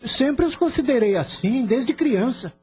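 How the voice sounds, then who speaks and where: Man acting gentle young helper, extremely high male voice, lilting, deferential, heartfelt, radio drama. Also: animated, a little slow, breathy edge, faint music behind, exaggerated voice. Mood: earnest, pleading. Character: gentle, earnest young male farm-vet assistant. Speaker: voice actor. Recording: dull lo-fi audio, faint background noise.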